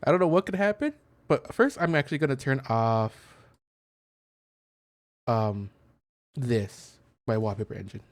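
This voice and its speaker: A man's voice: mid-range voice, unhurried, pauses often, dry recording. A man doing casual let's play commentary, explaining things in a hesitant, rambling way into a close mic. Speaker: gaming content creator.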